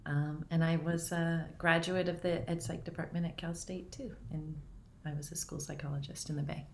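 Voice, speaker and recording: A low-pitched woman chats casually on a call, friendly and a little halting. Faint background noise sits under her voice. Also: level tone, vocal fry. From California. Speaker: audience member. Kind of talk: casual chat.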